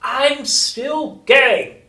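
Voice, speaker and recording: A storyteller voicing a scrawny, ancient grandmother who yells in a high, screechy voice, drawing her words out slowly. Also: expressive, heavily acted. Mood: gleeful yelling. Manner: loud, drawn-out yelling. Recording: roomy, from a distance.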